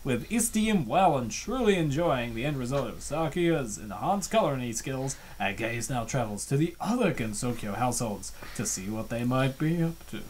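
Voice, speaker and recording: A playful host with a lively, wide-ranging pitch reads and comments on a webcomic. The delivery is casual and rambling, given to excitable reactions. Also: moderate pace, hardly any pauses, a little hiss or hum. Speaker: comic dub host.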